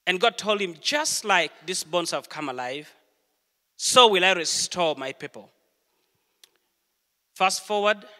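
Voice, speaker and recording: A middle-aged man from Uganda, preaching a sermon in a church and telling stories with earnest, deliberate pauses. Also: high-pitched, bright, forceful tone. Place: church hall. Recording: long hall reverb.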